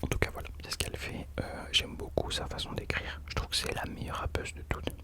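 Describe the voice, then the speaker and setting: A man hosting ASMR in an unvoiced whisper, chatting informally and hesitantly, correcting himself as he goes. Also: brisk. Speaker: ASMR host. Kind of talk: whispered ASMR chat about rappers.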